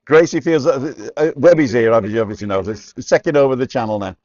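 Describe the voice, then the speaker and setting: A man's voice: mid-range voice, fast, a little mellow. Chatty man in his sixties. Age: 60s. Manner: chatty, asks lots of questions. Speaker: vlogger host. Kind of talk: casual chat. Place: bar. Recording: slightly dull sound.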